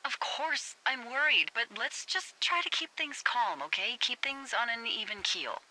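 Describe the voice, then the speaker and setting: An actress playing a wry, uneasy American fire lookout in a video game, speaking hesitantly and conversationally through a walkie-talkie filter. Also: female voice, fairly high pitch, expressive, normal speed, bright, forceful tone, full character voice, rough recording. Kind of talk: naturalistic video game voice acting.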